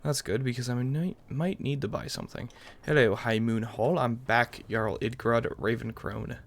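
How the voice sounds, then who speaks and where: A man's voice: mid-range voice, steady tone, normal speed, vocal fry. A man giving offhand let's-play commentary as a gaming channel host, musing aloud as he roleplays.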